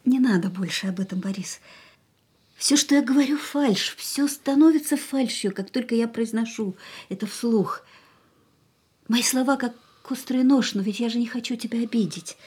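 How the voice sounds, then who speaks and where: A woman's voice: a little high, normal speed, a little mellow, close to an everyday voice. An actress in a radio play playing an anguished but resolute woman leaving the man she loves, earnest and pleading. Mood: pained. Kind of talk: Russian radio play acting.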